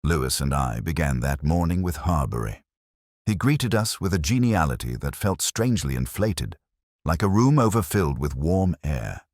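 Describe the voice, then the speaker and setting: A man narrating a first-person detective story in a measured, brooding, literary manner. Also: a bass voice, very animated, a little mellow, no room sound, clean studio sound.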